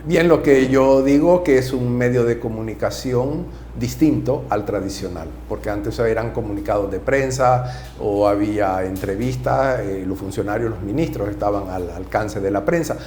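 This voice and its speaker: Man, from Nicaragua, priest guest, soft-spoken and measured, lowish voice, echoey studio. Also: slight background noise. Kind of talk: interview answers.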